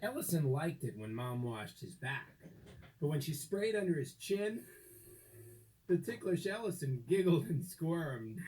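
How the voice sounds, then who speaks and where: Mellow, very animated male storyteller. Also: a little high, poor audio. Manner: dramatic, deliberate phrasing with emphasis. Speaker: storybook narrator. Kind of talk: expressive scripted children's story narration.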